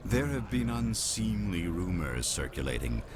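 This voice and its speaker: An actor playing an urbane old male alien in a game, with a courtly, wry, measured manner. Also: deep voice, big pitch swings, music in the background. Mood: wry, courteous.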